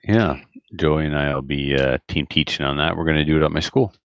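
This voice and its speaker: A man's voice: rumbling low, a little fast, clean tone, dry recording. A man hosting a podcast in casual chat, joking and self-deprecating. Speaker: podcast host.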